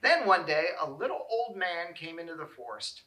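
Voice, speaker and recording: Male storybook narrator, bright and fairly high voice, expressive children's read-aloud, in an echoey room. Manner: expressive, deliberate phrasing. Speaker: storybook reader.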